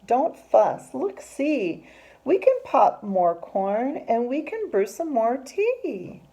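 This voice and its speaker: Woman putting on a squeaky little storybook mouse. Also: very animated, takes it slowly, warm tone, a little hiss or hum, full character voice, low-quality recording. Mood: comforting, eager. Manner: soothing, eager to comfort. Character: small, kindly, reassuring squeaky storybook mouse.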